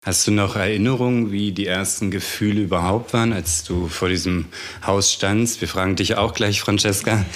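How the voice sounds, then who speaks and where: A man hosting a podcast, introducing the visit and asking calm, thoughtful questions. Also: lowish voice, normal speed. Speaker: podcast host. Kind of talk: podcast interview.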